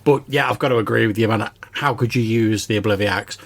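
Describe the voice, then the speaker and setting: A man's voice: on the low side, fairly quick. A man hosting a podcast, chatting conversationally in response to listener voicemails. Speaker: podcast host.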